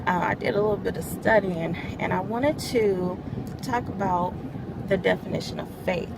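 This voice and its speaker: Woman giving a church devotional, mid pitch, leans hard on key words, traffic rumbling behind her. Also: normal speed, gravelly. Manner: earnest, stresses key words and grows louder as she explains scripture; laughs lightly between remarks. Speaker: church media ministry overseer. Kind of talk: midweek devotional teaching.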